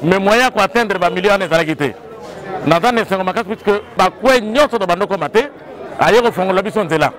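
High-voiced Congolese man at outdoor gathering. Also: animated, brisk, fairly bright tone, rough recording. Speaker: provincial party official. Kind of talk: interview statement to camera. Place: covered outdoor yard at rally. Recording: outdoor gathering with crowd murmur.

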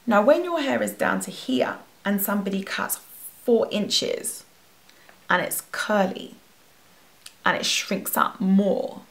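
A young woman telling an animated storytime about a hair salon, filmed talking to the camera at home. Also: mid-range voice, slow pace, vocal fry. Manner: animated, expressive, gesturing a lot. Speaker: hair vlogger. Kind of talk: storytime vlog. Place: bedroom at home. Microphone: camera at face distance.

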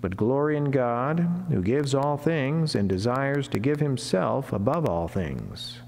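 A middle-aged man, a friar, reads a devotional sermon in a formal, measured way with deliberate pauses, speaking at a lectern microphone in a chapel. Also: medium pitch, animated, darkish tone. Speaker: Franciscan friar giving a daily saint reflection. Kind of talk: solemn read sermon, devotional reading. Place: wood-panelled chapel, indoors, at a lectern. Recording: long church reverb, clear background noise, hum.

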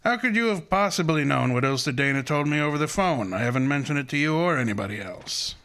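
An actor playing a shrewd, commanding private detective with formal, precise diction in an old-time radio drama. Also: male voice, on the high side, animated, a little fast, fairly bright tone. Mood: triumphant. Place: live stage before an audience.

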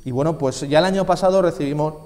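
A man's voice: fairly high pitch, fast, darkish tone. A man in his twenties with an accent from Spain, answering casually as an interview guest in an emphatic, repetitive way. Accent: Spanish from Spain. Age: 20s. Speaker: interview guest, film festival organiser. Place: small indoor TV studio, seated at a desk. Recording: reverberant room.

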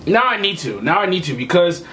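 A man's voice: high-pitched, big pitch swings, fairly quick, bright, forceful tone, a little hiss or hum. A young man giving casual reaction commentary as a video host, emphatic and repeating his phrases. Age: young adult. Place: small home room with computer setup.

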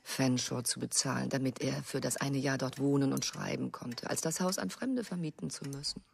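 An actress playing a genteel, effusive American wife in a German radio drama, with husky, affected, theatrical delivery. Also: female voice, very deep voice, moderate pace, darkish tone, exaggerated voice. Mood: haughty. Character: genteel, effusive American wife reminiscing, dominating her husband.

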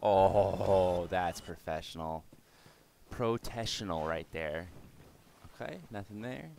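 A young man with a somewhat low voice, a little raspy and hoarse from yelling the day before. He narrates his gameplay casually, cursing at enemies and laughing at his own mistakes. He talks into a desk microphone. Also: slow pace, a little hiss or hum. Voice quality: slightly raspy. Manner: swears and exclaims at the game, self-mocking. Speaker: gaming channel host. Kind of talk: casual let's-play commentary.

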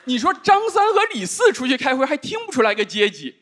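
A man in his thirties performing a deadpan stand-up comedy set on a large studio stage, speaking into a handheld microphone. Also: extremely high pitch, fairly quick. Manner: dry, deadpan delivery with rhetorical asides. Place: large indoor studio stage with live audience. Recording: live audience laughter.

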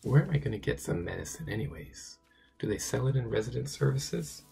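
A man with a hoarse, sore-throated voice giving casual, chatty commentary while playing a video game, talking to himself and to the game. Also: mid-range voice, animated, a little fast, mellow, faint music behind. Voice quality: gravelly, raspy. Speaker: let's play gamer.

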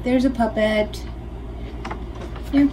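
A woman's voice: fairly high pitch, steady tone, slow, music in the background. A middle-aged woman from Norway with a Norwegian accent, giving a casual craft tutorial and thinking aloud as she demonstrates. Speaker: puppeteer teaching a craft workshop. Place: small home studio, indoors. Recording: clearly noisy home studio recording.

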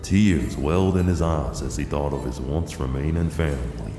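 A man's voice: rumbling low, normal speed. A man narrating an audio drama as a grave, solemn storyteller of a western tale. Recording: echoey long reverb under loud music. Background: music.

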